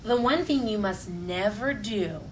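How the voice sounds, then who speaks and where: A woman reader plays an old village witch grandmother from a storybook. She is firm and bossy, laying down rules in measured phrases. The mic is distant, with faint room noise. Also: medium pitch, animated, natural voice. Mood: firm, instructing. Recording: distant mic, noisy classroom ambience.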